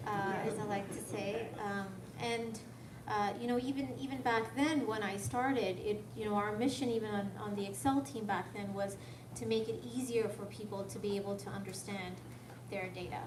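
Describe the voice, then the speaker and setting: A soft-spoken woman from Canada giving an informal lecture in a hesitant, conversational way, often posing questions. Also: mid-range voice, fairly even intonation, moderate pace, hardly any pauses. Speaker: guest speaker, software development manager. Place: lecture room at a tech school. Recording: echoey room, distant mic, noisy background.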